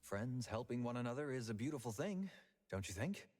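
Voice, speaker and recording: An actor voicing a polite, formal, flattering manager of an intel group in a video game. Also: male voice, mid-range voice, fairly quick, close to an everyday voice. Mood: courteous, persuasive. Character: courteous male manager of an intel group, smooth negotiator. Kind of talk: scripted video game dialogue. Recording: music playing behind.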